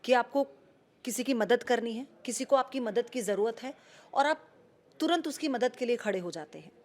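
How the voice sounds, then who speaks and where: A woman's voice: a little high, moderate pace, a little mellow. A woman news anchor giving an emotional, earnest commentary, asking rhetorical questions and repeating key phrases.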